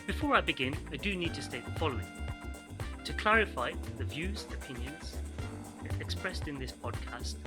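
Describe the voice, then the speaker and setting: A man hosting a podcast, reading a scripted intro and disclaimer, then asking questions with fillers and restarts. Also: mid-range voice. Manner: reads a compliance disclaimer at a brisk clip, hesitant with fillers when unscripted. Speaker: podcast host.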